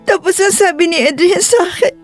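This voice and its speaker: A woman's voice: very high-pitched, animated, fairly quick, faint music behind, pitched above the performer's own voice. A woman voicing a heartbroken young wife and daughter, crying and pleading plaintively in an audio drama. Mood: hurt, tearful.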